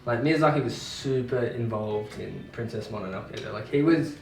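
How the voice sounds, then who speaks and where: A man's voice: medium pitch, normal speed, gravelly. Young guy rambling about a movie, trails off a lot, sounds echoey and far from the mic. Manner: rambling, trails off mid-thought. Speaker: film review co-host. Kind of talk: casual chat. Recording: roomy, distant mic, faint music.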